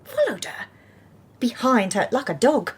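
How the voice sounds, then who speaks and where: A woman's voice: on the high side, huge pitch swings, a little slow, breathy edge, full character voice. An actress playing a prim, self-righteous Victorian landlady in a period radio drama, with a sanctimonious, fussy, theatrical manner. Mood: wounded, dramatic.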